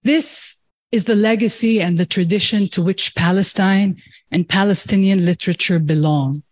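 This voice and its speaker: A woman's voice: mid-range voice, normal speed. A woman professor and festival organizer giving an impassioned, declamatory speech. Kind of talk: formal speech with recited poetry.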